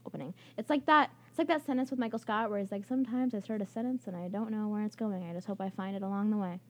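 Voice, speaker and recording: A woman's voice: mid-range voice, quick pace. A young woman co-hosting college radio, giving a station plug in a joking, rambling, self-mocking way. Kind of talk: station announcement and casual banter. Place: radio studio.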